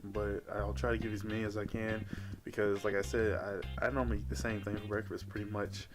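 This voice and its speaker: A man's voice: fairly low pitch, flat delivery, a little fast, music in the background. A young man talking casually and informally to camera as a fitness vlogger, rambling as he addresses his viewers. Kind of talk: casual talk to camera, vlog. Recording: noisy amateur vlog audio.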